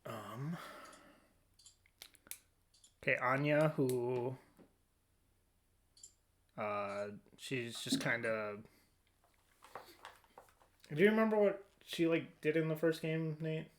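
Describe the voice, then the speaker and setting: A man's voice: mid-range voice, lively intonation, takes it slowly, pauses often. A man hosting a casual podcast discussion, conversational and a little hesitant, often trailing off. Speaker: podcast host.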